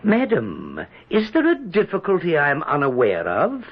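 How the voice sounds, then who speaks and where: A man's voice: on the high side, huge pitch swings, normal speed, vocal fry, husky, heavily acted. A man playing a haughty British general in a radio drama, speaking in a formal, sardonic, imperious manner. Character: haughty, wry male British general in wartime. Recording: dull, muffled old radio broadcast.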